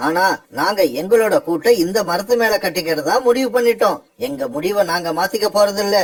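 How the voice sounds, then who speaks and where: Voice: medium pitch, brisk, lightly acted. A performer voicing a headstrong, proud crow in a defiant, emphatic way for a children's cartoon fable. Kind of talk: cartoon voice acting.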